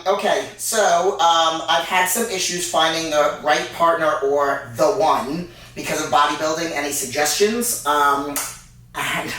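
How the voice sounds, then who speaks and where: A woman's voice: sits low, fairly bright tone, a little hiss or hum. A woman in her forties co-hosting a casual fitness Q&A, talking loosely with lots of ums. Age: 40s. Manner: unhurried, loose, many filler sounds. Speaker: fitness co-host. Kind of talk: casual Q&A chat. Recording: echoey room, mic far off.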